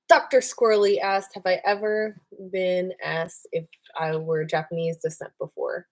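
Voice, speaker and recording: A young woman casually co-hosting a livestream, chatty and animated, reading out viewer questions and swearing freely. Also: on the low side, measured pace.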